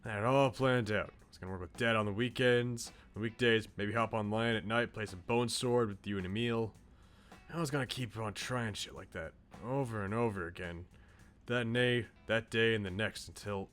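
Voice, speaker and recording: A man's voice: on the low side, a bit bright, lightly acted. A man voicing a brash, insecure but loyal eighteen-year-old slacker in a visual novel, with blunt, casual slang. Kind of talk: visual novel character read aloud.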